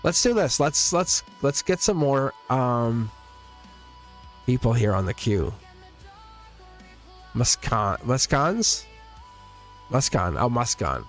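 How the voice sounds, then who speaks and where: A man's voice: medium pitch, very animated, slow, long pauses, soft background music. A man giving a live-streamed tarot reading, chatty and teasing, laughing often as he talks to his viewers. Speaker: tarot reader.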